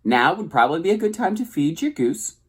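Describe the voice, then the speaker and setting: A man's voice: on the high side, very animated, brisk, husky, pitched above the performer's own voice. A man doing a squawky bossy old goose. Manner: squawking, scolding. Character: fussy old female goose, bossy and blunt.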